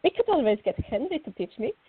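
A woman's voice: sits high, sing-song, fast, breathy edge, dry recording, full character voice. A woman playing a fiery, cheeky teenage girl in a radio drama, eager and playful. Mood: excited, pleading. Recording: dull lo-fi recording.

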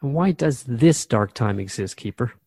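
A man's voice: medium pitch, animated, moderate pace, darkish tone, breathy edge, no echo, clean studio sound. A man playing a thoughtful, curious radio host in a radio drama, questioning a spirit guide in a reflective, conversational way.

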